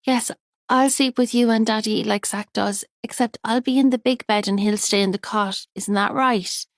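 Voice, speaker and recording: A woman's voice: a little high, steady tone, normal speed, no echo, studio quality, pitched above the performer's own voice, close to an everyday voice. A woman voicing a small, frightened young girl who speaks earnestly and insistently. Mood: chatty.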